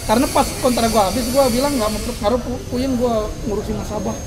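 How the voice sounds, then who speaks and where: A young man from Makassar, a comedian, telling stories in a lively casual chat and laughing often. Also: very high voice, fast, background noise. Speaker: comedian guest.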